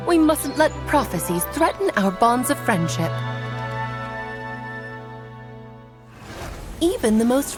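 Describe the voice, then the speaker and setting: A woman's voice: mid-range voice, close to an everyday voice. A woman voicing a playful, grand elder witch in a video game, theatrical and coy, with a motherly storytelling manner. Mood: tender. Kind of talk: video game character acting. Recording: music playing behind.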